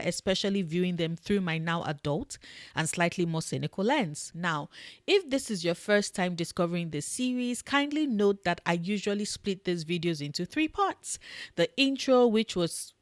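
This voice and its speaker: A young woman with a fairly low, booming voice recaps a movie for her channel, jumping between brisk narration and playful, sarcastic asides. The sound is close and dry, with no room around it. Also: no room sound. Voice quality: loud booming voice. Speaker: YouTube film reviewer. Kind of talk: scripted film recap.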